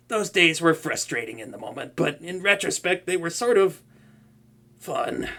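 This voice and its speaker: A man playing a flustered, gravelly young supervillain. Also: fairly high pitch, sharp tone, exaggerated voice. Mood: wistful. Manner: stammering, flustered, talks to an unseen listener. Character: flustered tsundere male supervillain, proud cook. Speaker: audio roleplay voice actor. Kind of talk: comedic audio roleplay.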